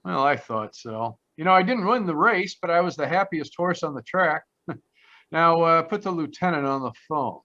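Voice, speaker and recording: Man playing a higher-pitched wisecracking radio private eye. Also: expressive, normal speed, sharp tone. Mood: flippant. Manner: flippant, wry. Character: wisecracking, flippant ex-cop private detective, male. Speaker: actor in a radio play. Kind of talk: 1940s detective radio acting. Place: remote live performance over video call. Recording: dull, lo-fi video call audio.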